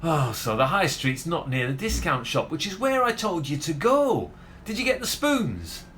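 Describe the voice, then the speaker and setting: An actor playing a fussy, sarcastic milkshake bar owner in a comedy radio play, wry and exasperated in manner. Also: male voice, a little high, sing-song, moderate pace, fairly bright tone, a little hiss or hum.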